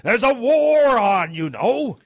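Man playing a pompous officer, high-pitched, swooping. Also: big pitch swings, slow pace, vocal fry, dry recording, heavily acted. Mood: indignant. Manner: pompous, scolding, barking orders. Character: pompous, dismissive older Home Guard officer. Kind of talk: wartime radio drama acting.

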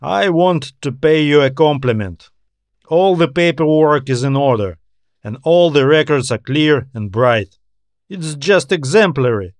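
A man's voice: fairly high pitch, animated, unhurried, no echo. A man voicing a tired, anxious customs officer in an audio story, talking in a weary, griping way. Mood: griping, familiar.

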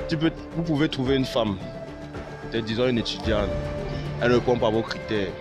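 A man's voice: mid-range voice, a little fast. A young man, answering a street interview outdoors with brash confidence. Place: outdoor campus courtyard. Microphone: interviewer's phone held out. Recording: loud background music.

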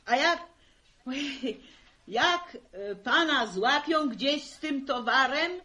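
A woman's voice: high voice, lively intonation, takes it slowly, sharp tone, full character voice. An actress playing a simple middle-aged village mother, hesitantly stumbling through a part in a 1960s radio drama. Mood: hesitant, reciting. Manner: hesitant, folksy, stumbling over words. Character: simple, flustered village mother haltingly reading a play part.

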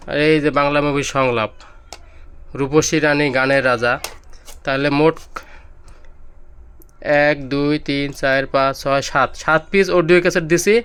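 A man's voice: a little high, fairly bright tone, slight background noise. A man with a Bangladeshi accent giving an informal, repetitive product demonstration as a seller, pointing things out as he goes. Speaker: electronics seller demonstrating used audio gear.

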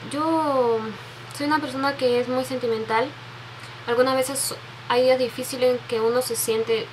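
A woman, chatting softly and answering viewer questions into a webcam, with many restarts. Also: on the high side, a little hiss or hum, rough recording. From Peru. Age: 20s. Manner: soft, sentimental, many restarts and fillers, reads out questions. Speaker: beauty vlogger. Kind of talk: casual Q&A tag video. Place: bedroom with makeup shelves, indoors. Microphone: webcam at desk.

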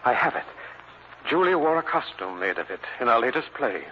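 Earnest male suspect, actor, mid-pitched and expressive, vintage radio drama with faint hiss. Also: fairly quick, natural voice. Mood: puzzled, earnest. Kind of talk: 1940s radio drama acting. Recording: muffled, noisy old radio recording.

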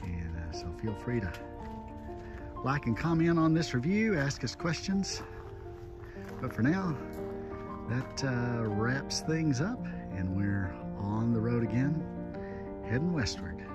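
A man's voice: medium pitch, takes it slowly, long pauses, over loud music. A man in his sixties, talking casually and easily, with many "uh" fillers, as he films outdoors on a phone held at arm's length. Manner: relaxed, easygoing, with frequent "uh" fillers. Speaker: RV travel vlogger. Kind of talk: casual travel vlog narration. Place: outdoors at campground, gas station and driveway.

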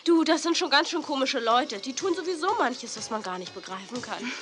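An actress playing an eager, impulsive young girl in a children's audio drama, excitable and a little pouty. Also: high-pitched, fairly quick, loud music behind the voice. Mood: pouting. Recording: noisy 1980s children's cassette play.

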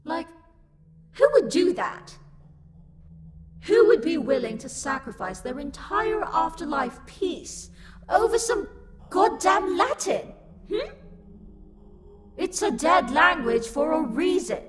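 A woman's voice: very high voice, very animated, slow pace, long pauses, slight background noise, exaggerated voice. A woman playing an angry, haughty, sassy luck demon in a roleplay audio drama, scornful, theatrical and exasperated. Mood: livid, sarcastic.

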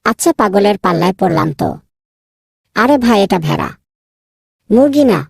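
A woman voicing a naive, proud young scholar son in a cartoon, easily exasperated, with a husky, creaky voice. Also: medium pitch, lively intonation, moderate pace, no room sound, clean studio sound. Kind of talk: cartoon dubbing.

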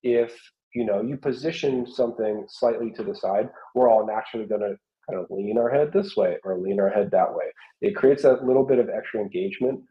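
A man's voice: lowish voice, brisk. An American man in his thirties explaining things informally as an art instructor in an online workshop, talking through examples. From South Carolina, United States. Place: small home room, indoors. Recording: roomy, slightly dull webcam audio.

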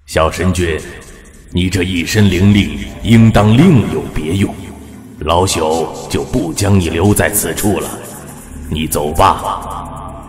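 A deep-voiced, unhurried old immortal. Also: male voice, soft background music. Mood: grave. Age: older. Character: old male immortal guardian, calm and knowing. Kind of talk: audio drama acting. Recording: echoey, long reverb.

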